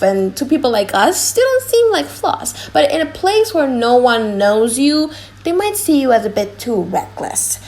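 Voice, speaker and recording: A woman playing a courteous young gentleman who gives measured, polite orders in an audiobook drama. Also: a little high.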